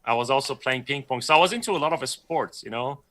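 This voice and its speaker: Male guest from Iran, bright forceful tone, loose rambling storyteller. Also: mid-range voice, quick pace. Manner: rambling, self-correcting storyteller, laughs often. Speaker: guest artist. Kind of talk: casual livestream chat.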